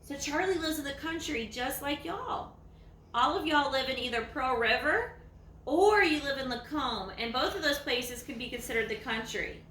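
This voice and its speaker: A woman with a Southern US accent reads a picture book to young children. Her voice is high and bright, and she explains things with questions in a child-directed way. The room is echoey, with faint background noise. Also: lively intonation, normal speed, recorded from a distance. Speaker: teacher reading to young children. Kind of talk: children's story read-aloud.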